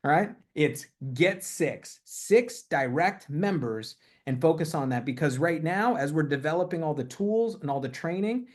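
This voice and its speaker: A man's voice: fairly high pitch, animated, normal speed, few pauses. A man leading a motivational team call over a video link, upbeat and emphatic, hammering home his main points. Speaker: company leader on a team call.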